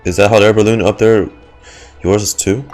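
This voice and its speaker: A man's voice: lowish voice, fairly even intonation, moderate pace, a little mellow, faint music behind. A man doing casual, joking reaction commentary as a game streamer. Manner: playful, joking, mimics sound effects.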